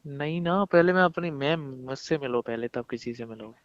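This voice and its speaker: A man's voice: mid-range voice, moderate pace, dry recording. A young man chatting casually and intimately with his partner on a recorded phone call, coaxing and pleading in a colloquial way. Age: young adult. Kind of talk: casual intimate phone chat between lovers.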